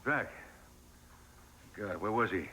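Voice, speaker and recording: A man's voice: lowish voice, lively intonation, slow, bright, forceful tone, a little hiss or hum, exaggerated voice. An actor playing a proud, domineering father in a television soap opera, with a formal, clipped, commanding manner. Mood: worried.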